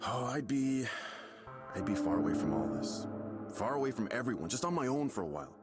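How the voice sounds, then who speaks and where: A man's voice: medium pitch, very animated, a little fast, natural voice. An actor playing a bitter, withdrawn, weary man in a video game, speaking in a sullen, downbeat way. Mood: dejected. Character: bitter, withdrawn, weary male counselling client. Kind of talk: naturalistic game voice acting. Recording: loud music behind the voice.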